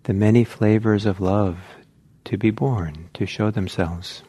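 A man leads a guided meditation as a teacher. His voice is low and mellow. He speaks gently and reflectively, halting often and leaving long pauses, at a very slow pace. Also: husky.

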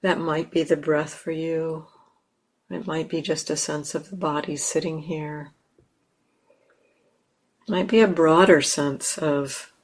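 Woman doing guided meditation, gentle n unhurried, pretty flat pitch, lots of space between bits. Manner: gentle, unhurried, with long pauses. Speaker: meditation teacher.

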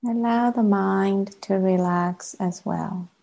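A woman, a native Chinese speaker, leading a guided meditation as a teacher, gently and with long unhurried pauses. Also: medium pitch, fairly even intonation, creaky.

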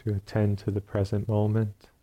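A man leading a guided meditation as a teacher, speaking in short, unhurried phrases with long pauses between them. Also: on the low side, monotone, dark tone.

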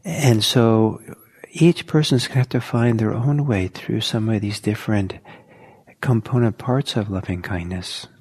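A man teaching meditation in a soft, slow, halting way, with many pauses. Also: fairly low pitch, husky. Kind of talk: guided meditation talk.

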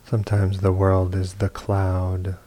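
A man's voice: sits low, monotone, takes it slowly, mellow. A man leading a guided meditation as a teacher, reading poems and reflections in sparse phrases with long silences. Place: meditation retreat hall.

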